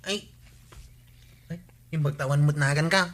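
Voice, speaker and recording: A man's voice: a little high, unhurried, a bit bright. A man playing an eager, cheeky village sidekick with an Ilocano accent in a radio comedy-drama.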